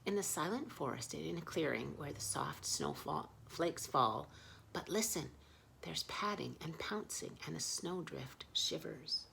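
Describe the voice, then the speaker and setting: A woman's voice: low-pitched, expressive, a little slow, vocal fry, fairly bright tone, slight background noise. A woman reading picture books aloud expressively as a children's storyteller.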